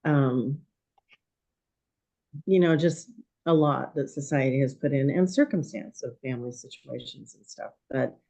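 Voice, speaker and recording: Hoarse woman in her sixties, reflective. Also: very deep voice, measured pace, long pauses, mellow. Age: 60s. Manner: thoughtful, hesitant with frequent ums. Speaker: podcast guest. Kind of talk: reflective conversation.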